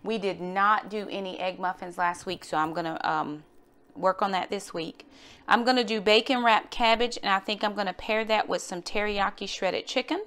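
A woman's voice: medium pitch, moderate pace, fairly bright tone, smooth. A woman home cook talking casually to her viewers as she narrates her vlog in a kitchen. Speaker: home cooking vlogger.

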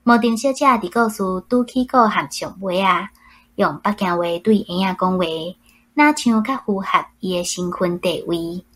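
A woman's voice: mid-range voice, normal speed. A woman with a Taiwanese accent, a book club member reading a scripted reflection aloud.

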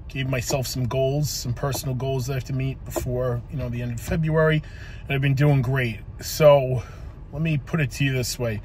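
A man's voice: medium pitch, slight background noise. Rambling bearded man talking in his car. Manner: rambling, many ums and likes, swears casually. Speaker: vlogger, police officer. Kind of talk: casual motivational talk to camera. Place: inside a parked car. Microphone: phone camera on the dashboard.

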